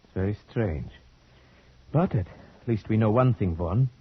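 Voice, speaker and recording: A man acting as a calm, thoughtful investigator in an old radio play, speaking in a measured, deliberate way. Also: on the low side, slightly rough. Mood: grave, analytical. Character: calm, thoughtful investigator narrating a gothic werewolf tale. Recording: muffled old radio recording with music.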